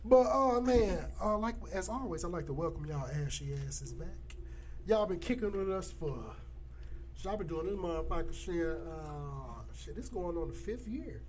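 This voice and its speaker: A man in his thirties hosting a podcast, joking loudly and swearing freely in casual banter. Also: sits high, animated, normal speed. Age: 30s. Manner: loud, joking, profane banter. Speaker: podcast host. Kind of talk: casual chat.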